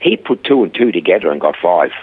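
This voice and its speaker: An older man with a Swiss accent telling his life story in a relaxed chat, acting out the voices in his stories. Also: low-pitched, fast talker, husky, narrow, tinny audio. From Switzerland. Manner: animated storyteller acting out quoted dialogue. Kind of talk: casual storytelling interview.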